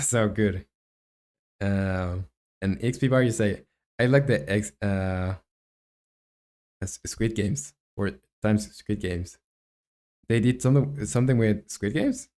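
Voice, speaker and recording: A man who speaks Spanish natively, talking casually on a live game development stream, thinking aloud in a halting, hesitant way and reading out chat. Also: fairly low pitch, a little mellow, clear, resonant tone.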